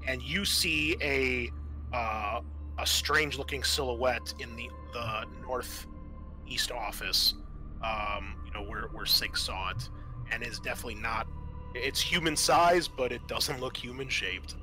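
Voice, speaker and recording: A man narrating a tabletop roleplaying game as game master, explaining things in a halting, improvised way. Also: medium pitch, bright, forceful tone, faint music behind.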